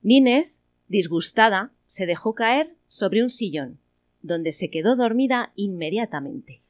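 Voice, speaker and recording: A woman's voice: mid-range voice, lively intonation, slow, dry recording, studio quality. A woman reading scripted narration for a radio play.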